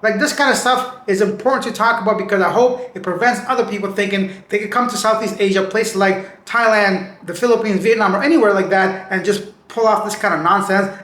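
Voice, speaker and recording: A man with a high, slightly raspy voice runs through news headlines in a loose, chatty way, tossing in slangy remarks between stories. The recording carries a noticeable room echo. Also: fairly bright tone. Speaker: news channel host. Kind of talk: casual news commentary.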